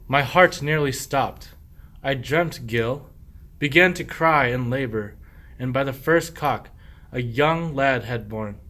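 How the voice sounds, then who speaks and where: Guy acting a scheming henpecked husband in an old rhyming radio play, slow and theatrical. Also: mid-range voice, fairly bright tone. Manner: theatrical, declaiming rhymed verse. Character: sly, henpecked sheep-stealing husband, weary and scheming. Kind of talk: verse radio play acting of a medieval comedy.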